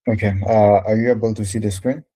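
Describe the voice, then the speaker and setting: A young man from Congo teaching a French lesson over a video call, speaking slowly and patiently with frequent fillers and restarts. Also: fairly low pitch, flat delivery, dark tone. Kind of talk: online one-to-one language lesson.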